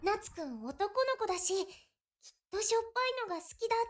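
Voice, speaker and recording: A woman voicing a gentle, earnest, chatty teenage schoolgirl in a Japanese visual novel. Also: extremely high pitch, expressive, rapid-fire, a crowd in the background.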